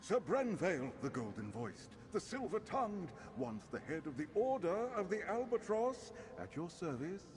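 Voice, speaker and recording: An actor playing the boastful, foppish ghost of a knightly bard in a fantasy video game, speaking in flowery archaic phrases. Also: male voice, high voice, sing-song, normal speed, hardly any pauses. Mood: boastful, grand. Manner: theatrical, flowery, archaic, lamenting. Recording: music behind the voice.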